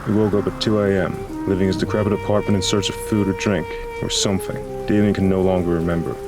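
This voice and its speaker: A man's voice: sits low, flat delivery, quick pace. A man reading scripted noir horror narration as a grave, dramatic storyteller. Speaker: narrator and voice actor. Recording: music and rain behind voice.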